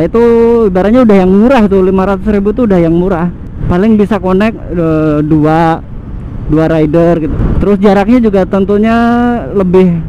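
A man's voice: sits high, warm tone. A man, chatting casually and repeating himself for emphasis as he vlogs from a motorbike. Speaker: motovlogger host. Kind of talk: casual chat while riding. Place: outdoors, riding a motorbike on city roads. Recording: muffled helmet mic, engine and traffic noise.

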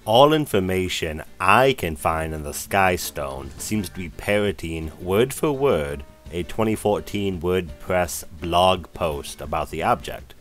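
Low-voiced skeptical male narrator. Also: expressive, a little slow, hardly any pauses, a bit bright, soft background music. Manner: dry, skeptical. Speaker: debunking narrator. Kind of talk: scripted narration.

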